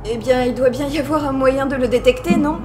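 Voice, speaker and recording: Woman playing logical crew member, slightly high pitch, tense, questioning, dramatic cartoon dub. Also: fairly even intonation, a little fast, noisy background, natural voice. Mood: analytical, tense. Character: female crewmate, sharp, questioning, logical and tense. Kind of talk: dramatic French dub of animated short film.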